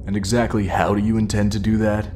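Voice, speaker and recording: Man playing deep-voiced cocky Jedi pilot. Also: flat delivery, fast, darkish tone, soft background music, lightly acted. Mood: mocking. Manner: sarcastic. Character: cocky, skeptical young male Jedi pilot. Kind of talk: fan audio drama acting.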